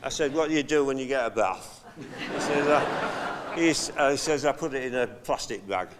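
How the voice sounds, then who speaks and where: An older man giving a halting, conversational memorial eulogy, telling anecdotes from notes with frequent pauses. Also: mid-range voice, very noisy. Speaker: eulogy speaker, friend of the deceased. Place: large hall at a memorial service. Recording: long hall reverb.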